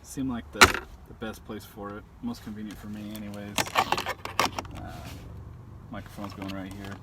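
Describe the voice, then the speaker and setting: Casual man, clearly noisy outdoor recording. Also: lowish voice, flat delivery, moderate pace. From Southern California, lives there. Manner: laid-back, hesitant, self-effacing, loosely worded. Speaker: vlogger demonstrating a DIY truck install. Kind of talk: casual unscripted how-to walkthrough. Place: outdoors in and under a pickup truck. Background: clear outdoor and vehicle ambience.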